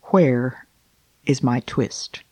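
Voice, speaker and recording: A woman's voice: extremely low pitch, drawn-out words, a little mellow, breathy edge, dry recording, heavily acted. A woman voicing a poor, sick old male tailor in a storybook reading, mumbling and despairing. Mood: fretful.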